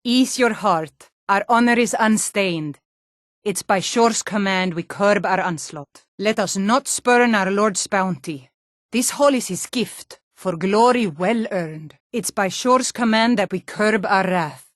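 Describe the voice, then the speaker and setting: A woman's voice: medium pitch, slow, no echo, clean studio sound, lightly acted. A woman playing a proud, hearty Nord warrior in a fantasy game, declaiming solemnly in lofty, archaic, bardic phrases.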